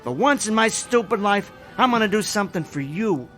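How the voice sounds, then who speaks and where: High, urgent small-time hustler. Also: male voice, expressive, brisk, a bit bright, soft background music. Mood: urgent, determined. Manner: urgent, slangy tough talk. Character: down-on-his-luck small-time hustler, reckless, loyal kid brother. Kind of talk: radio drama acting.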